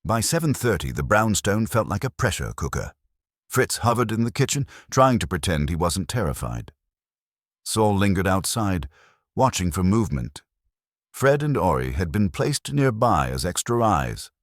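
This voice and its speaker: A man reading a first-person detective story as a scripted narrator, in a measured, dramatic way. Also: sits low, very animated, no echo, clean studio sound. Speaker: audiobook narrator telling the story as a detective's assistant.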